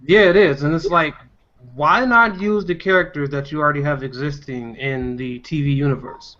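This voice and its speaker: A man's voice: fairly high pitch, moderate pace, bright, forceful tone. A man giving his opinions in an explanatory way as a panelist in a casual group discussion. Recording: dull lo-fi recording.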